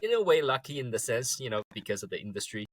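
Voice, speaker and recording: A man, a guest talking in a relaxed way and laughing easily. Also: medium pitch, quick pace, no echo. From Taiwan. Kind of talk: casual interview.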